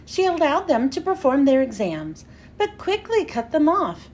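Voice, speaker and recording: A woman reading a children's storybook aloud as a read-aloud host, with expressive, clearly phrased storytelling. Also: sits high, measured pace, slight background noise.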